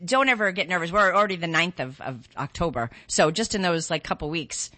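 Radio host, woman, slightly scratchy and nasal, very lively pitch, dry studio sound. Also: brisk, dry recording. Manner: chatty, laughs often, many restarts. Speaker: radio show host, financial advisor. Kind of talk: casual radio talk about markets.

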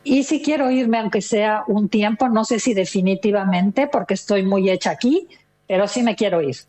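A woman's voice: mid-range voice, normal speed, dark tone. An older woman with a Mexican accent, chatting casually and expressively as an interview guest, telling stories with quoted remarks. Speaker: interview guest, retired listener. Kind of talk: casual conversational storytelling.

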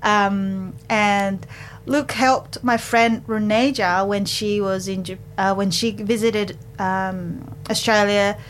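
A woman's voice: mid-range voice, steady tone, slow, few pauses, slight background noise. A woman from Japan who partly grew up in England, hosting a community radio show in a studio with a chatty, friendly presenting style. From Japan, childhood partly in England. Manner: chatty, laughs often. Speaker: radio host. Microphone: studio microphone.

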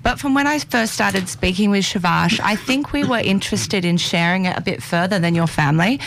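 A young woman, a documentary filmmaker, explaining her work in measured, articulate speech. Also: medium pitch, creaky, a little hiss or hum.

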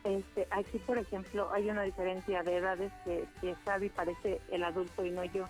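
A woman in her fifties, chatting tenderly as a radio guest and filling pauses with "este". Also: medium pitch, flat delivery, soft background music. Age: 50s. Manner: chatty and tender, with many fillers. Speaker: guest dedicating a song. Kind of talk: casual radio interview.

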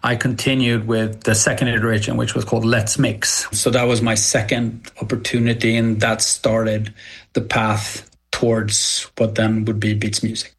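A middle-aged man from Sweden with a Swedish accent, speaking English as a second language and giving measured, candid interview answers. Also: fairly low pitch, level tone, creaky, husky. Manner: measured, candid, occasional hesitant restarts. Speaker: guest entrepreneur.

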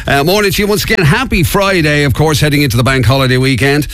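A man's voice: on the high side, fast talker, fairly bright tone. A man hosting a morning radio show, chatty and teasing, with plenty of fillers. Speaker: radio host. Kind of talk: casual morning radio banter. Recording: radio broadcast with faint music.

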